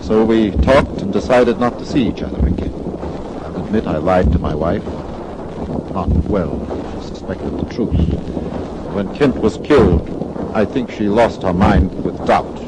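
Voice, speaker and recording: A man's voice: on the low side, expressive, a little slow. A man playing a weary, bitter small-town doctor in an old radio drama, with formal, clipped, resigned phrasing. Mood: grim confession. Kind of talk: 1940s-50s radio drama acting. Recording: noisy old radio broadcast recording.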